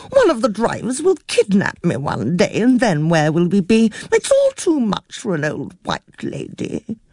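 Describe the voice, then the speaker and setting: A woman's voice: mid-range voice, a little mellow, slightly rough, no room sound, clean studio sound, pitched above the performer's own voice, exaggerated voice. A man voicing a fussy, dramatic elderly aunt who complains bluntly and swears, in a storybook reading. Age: older.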